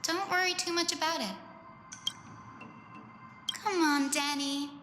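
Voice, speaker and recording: A woman voicing a gentle, caring young fantasy healer in a story video game, speaking softly and reassuringly. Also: high voice, steady tone, slow. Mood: cheerful, comforting. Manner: soft, tender, reassuring. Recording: slightly roomy, music underneath.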